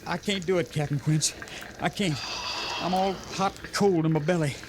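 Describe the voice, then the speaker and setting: A man's voice: on the high side, steady tone, moderate pace, lightly acted. An actor playing a queasy, overwrought cavalry soldier, pleading with his officer in a 1950s western radio drama. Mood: sickened, pleading. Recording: noisy 1950s radio recording.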